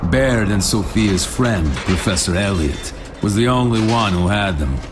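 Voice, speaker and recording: A man playing a serious soldier who recounts a mission in measured narration for a video game. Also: on the low side, expressive, music in the background. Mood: sober.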